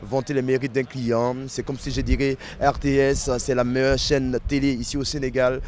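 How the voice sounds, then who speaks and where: Man, documentary interviewee, mid-pitched, explains things at length with lots of hesitations; outdoors with faint street traffic behind. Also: little pitch movement, moderate pace, a little mellow. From Ivory Coast. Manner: explanatory, with frequent hesitation fillers. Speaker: member of the Ivorian community in Dakar.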